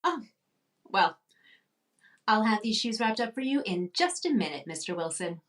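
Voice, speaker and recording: A woman's voice: medium pitch, sing-song, moderate pace, a bit bright. Polite, slightly distant female shop clerk. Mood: dryly polite. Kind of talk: old-time radio comedy acting.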